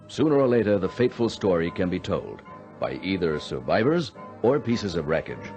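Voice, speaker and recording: Low, expressive air traffic controller. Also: male voice. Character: male air traffic controller recounting a mystery, steady and grave. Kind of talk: dramatic first-person radio narration and dialogue.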